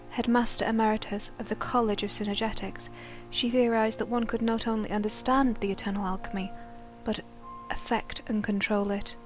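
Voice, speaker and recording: A woman's voice: medium pitch, slight background noise. A woman reading scripted dramatic lore narration in a solemn storytelling manner. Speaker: narrator of a game lore news chronicle. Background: background music.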